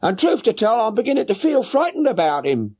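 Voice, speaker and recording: Here is a stout working-class London woman from an audiobook story, pitched very high. She is chatty, deferential and flustered, with plain-spoken speech and a lively swoop to her voice. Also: brisk, husky, full character voice. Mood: worried, puzzled. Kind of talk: audiobook character voice.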